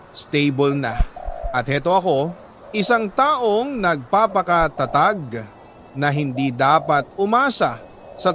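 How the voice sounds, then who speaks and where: Higher-pitched Filipino male storyteller, noisy backdrop. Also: a bit bright. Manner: emotive, deliberate phrasing with pauses. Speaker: radio host and storyteller reading a listener's letter. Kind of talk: dramatic narration of a confessional love story.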